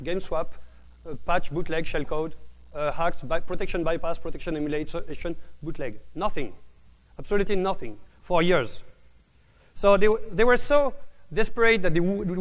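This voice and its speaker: A man's voice: high-pitched, rough recording. A man giving a technical conference talk, often restarting his words, with a voice he calls bored. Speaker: conference speaker.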